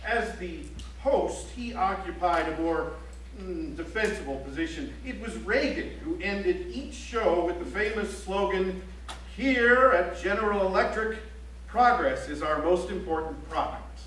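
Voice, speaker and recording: Actor as male documentary chronicler, high voice, articulate, dry and declamatory, staged in an echoey hall. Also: lively intonation, unhurried, fairly bright tone. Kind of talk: theatrical scripted documentary narration. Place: library auditorium stage, recorded from the audience. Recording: echoey auditorium, distant mic, faint hum.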